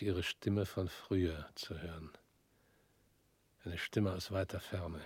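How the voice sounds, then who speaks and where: An actor in a radio drama playing a stern male revolutionary who speaks in measured, pointed lines and often drops to a hushed whisper. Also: low-pitched, breathy edge, dry recording, heavily acted. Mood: wistful. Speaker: revolutionary visitor.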